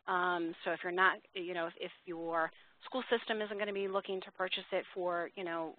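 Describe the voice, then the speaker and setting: A woman's voice: fairly low pitch, flat delivery, normal speed. A woman presenting a webinar in an informal, explanatory way, thinking aloud as she takes questions. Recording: tinny, dry phone-line audio.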